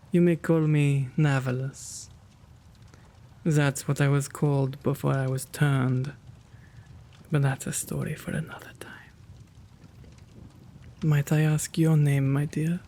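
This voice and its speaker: A man plays a kind gentleman vampire for an ASMR roleplay. His voice sits a little high and has a creaky edge, and he takes his time with courtly, old-fashioned politeness, gentle and easily flustered. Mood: tender, courteous. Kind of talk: ASMR roleplay, intimate one-sided dialogue.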